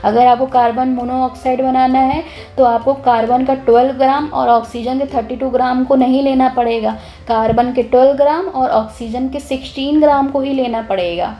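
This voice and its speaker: A young woman, slightly hoarse, explaining a chemistry lesson in a casual, lively way, often restarting her sentences. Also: high voice, normal speed, dull audio, soft background music. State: hoarse, clears her throat. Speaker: chemistry teacher.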